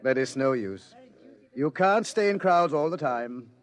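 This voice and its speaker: A man's voice: medium pitch, expressive, measured pace, natural voice. A man playing a suave, mocking, patiently menacing pursuer in a 1950s radio drama. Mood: smug, menacing. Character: smug, patient male pursuer from the future, menacing. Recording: old-time radio recording.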